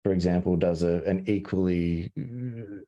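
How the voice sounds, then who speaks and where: Low, mellow Australian man presenting. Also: a little slow, lo-fi sound. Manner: hesitant, full of restarts and fillers. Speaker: webinar presenter. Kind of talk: conversational webinar presentation.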